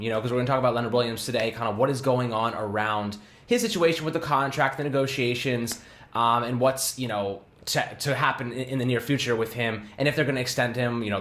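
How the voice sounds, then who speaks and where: Young male co-host, casual and excitable, ordinary middle pitch, recorded in a reverberant room. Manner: excitable, laughs often, many fillers and restarts. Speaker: podcast host. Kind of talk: casual sports podcast chat. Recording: echoey room.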